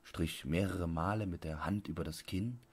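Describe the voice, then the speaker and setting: A man reading literary fiction as an audiobook narrator, in a measured, deliberate bedtime-story manner. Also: low voice, husky.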